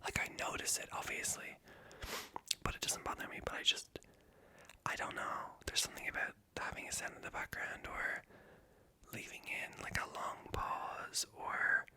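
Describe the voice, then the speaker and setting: A woman with a light Irish accent whispers a breathy, self-conscious, stream-of-consciousness ASMR ramble. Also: moderate pace, sharp tone. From Ireland. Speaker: ASMR video creator.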